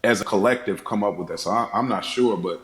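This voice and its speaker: A man with a lowish voice chats casually on a film podcast panel. The recording is roomy, with noticeable echo on his words. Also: fast talker. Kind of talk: casual chat. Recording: roomy, echoey voice pickup.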